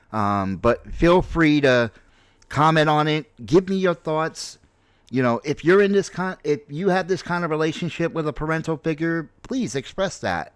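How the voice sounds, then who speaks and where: A man in his late forties talks off the cuff as a gaming host, with a mid-range voice. He speaks haltingly but with emphasis, often repeating a phrase to drive it home. The sound is dry and close to the microphone. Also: medium pitch, clear, resonant tone, dry recording. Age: late 40s. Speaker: gaming video host and streamer. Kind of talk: casual unscripted opinion commentary on a game story.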